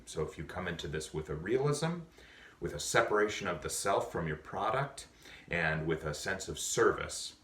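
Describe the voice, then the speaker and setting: Awards presenter, man, very deep voice, earnest and measured, recorded far off in an echoey room. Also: a bit bright. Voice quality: low voice. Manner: earnest, measured advice with many pauses. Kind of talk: prepared speech to drama students. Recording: echoey, distant mic.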